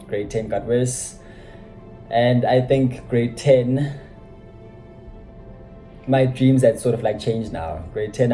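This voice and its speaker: Young man, lowish voice, reflective, self-deprecating, casual vlog chat. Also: warm tone, low-quality recording. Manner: reflective, self-deprecating, many "you know" asides. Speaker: vlogger. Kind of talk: casual personal storytelling.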